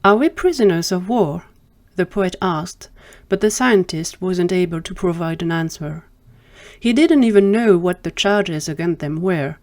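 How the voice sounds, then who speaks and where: Low-voiced woman narrating a story. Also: measured pace, darkish tone.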